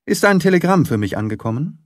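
A man voicing a shrewd, confident, courteous gentleman detective in an audiobook, formal, articulate and lightly patronising. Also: fairly high pitch, sing-song, fast talker, no echo, studio quality, voice pushed up. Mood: frustrated. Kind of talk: audiobook character reading.

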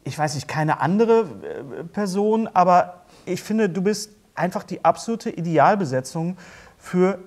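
A middle-aged man hosting a casual interview, often halting and rephrasing himself mid-sentence. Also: sits high, expressive. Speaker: talk show host. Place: indoor studio talk-show set, seated at a table.

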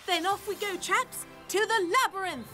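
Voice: extremely high pitch, moderate pace, long reverb tail, loud music behind the voice. An actor voicing a plucky little magical cartoon creature, jaunty, with old-fashioned slang. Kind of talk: cartoon acting.

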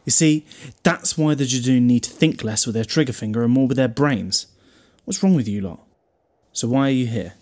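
A man's voice: medium pitch, normal speed, a little mellow, natural voice. A British man playing a glib, protective time-travelling hero in an audio drama, wry and chatty with a scolding streak. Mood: mocking, stern. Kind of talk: fan audio drama acting.

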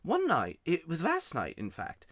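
An aggrieved young schoolboy from a children's storybook, as acted out by a narrator. He speaks emphatically in a raised, very animated voice, close and dry. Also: medium pitch, measured pace, no echo, pitched above the performer's own voice, heavily acted. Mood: secretive, uneasy. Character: miserable, wound-up, grubby young boy from the country. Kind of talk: children's audiobook character voice.

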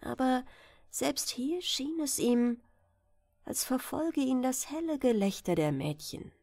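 A woman's voice: high-pitched, animated, slow pace, darkish tone, dry recording, studio quality. A woman narrating a bedtime fairy tale as an audiobook reader.

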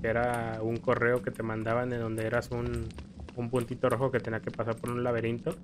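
A man's voice: medium pitch, monotone, fairly quick, background noise. Rambling young Mexican man. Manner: rambling, stammering storyteller who acts out scared quotes. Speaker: gameplay commentator. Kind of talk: casual gameplay chat. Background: game music.

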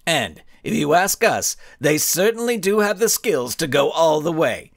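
A cocky supervillain skull, as a storyteller puts him on, holding forth with a smug, showy air. His pitch swoops around a lot and sits a little high. The sound is close and dry. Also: big pitch swings, measured pace, no room sound, heavily acted. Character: cocky, grandstanding male supervillain skull, smirking.

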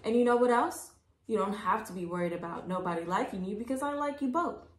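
A woman's voice: medium pitch, fast talker, natural voice. A woman acts out a small girl from a storybook, anxious and stubborn yet friendly. She speaks earnestly, often in questions, in an echoey room. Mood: reassuring.

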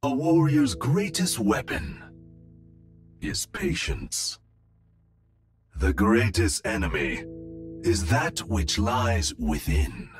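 An actor playing a serene, wise robot monk from a video game, speaking in measured, philosophical sayings. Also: male voice, fairly high pitch, wildly swooping pitch, soft background music, exaggerated voice. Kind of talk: video game hero voice lines.

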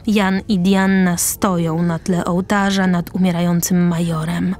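A woman narrating a radio drama, reading stage directions in short measured phrases. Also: lowish voice, little pitch movement, darkish tone. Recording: music behind the voice.

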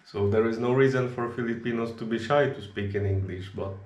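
A young man from the Czech Republic, a native Czech speaker, chatting in relaxed non-native English as a vlogger, recorded on a camera at arm's length in a small kitchen. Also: medium pitch, a little fast, roomy sound, highs cut off. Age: young adult. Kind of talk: casual chat.

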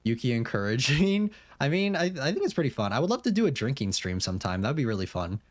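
A young man streams a horror game, talking loosely and rambling through fillers and restarts. His voice sits at a middle pitch. The sound is dry and close to the mic. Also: very animated, fast talker, no room sound. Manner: chatty, rambling, many fillers and restarts, reads questions aloud. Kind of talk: casual game commentary.

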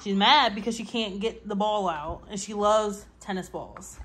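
A woman's voice: medium pitch, normal speed, a bit bright. A woman in her twenties, talking in a loose, rambling way with plenty of "like" and "um". She sounds worn out, yet she keeps chatting on to her viewers as a vlogger. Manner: chatty, rambling, many fillers and asides. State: tired. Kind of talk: casual chat to camera.

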